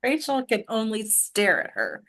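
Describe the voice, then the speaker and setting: A woman's voice: fairly high pitch, huge pitch swings, measured pace, fairly bright tone. A woman reading stage directions as scripted narration at a table read.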